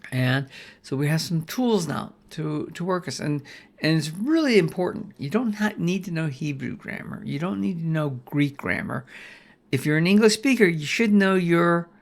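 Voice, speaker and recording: A man's voice: a little high, lively intonation, moderate pace. An older man teaching a Bible study lesson, folksy and rambling, restarting phrases and checking in with "right?".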